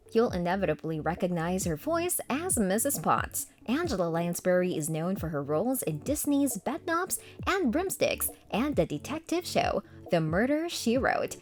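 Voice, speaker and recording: A woman reading scripted narration with a polished presenter's delivery. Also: medium pitch. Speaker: narrator of a list video. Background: background music.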